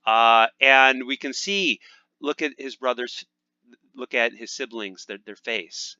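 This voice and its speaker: Bright-voiced man teaching languages. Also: mid-range voice, slow pace, no echo, highs cut off. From United States. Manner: upbeat, many fillers and restarts. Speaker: language teacher and channel host. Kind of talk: casual instructional talk over gameplay.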